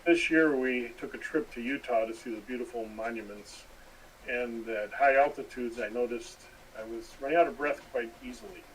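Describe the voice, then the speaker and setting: A man's voice: mid-range voice, moderate pace. Man, middle-aged or older, personal testimonial, distant mic, faint noise. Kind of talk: personal testimonial in a video appeal.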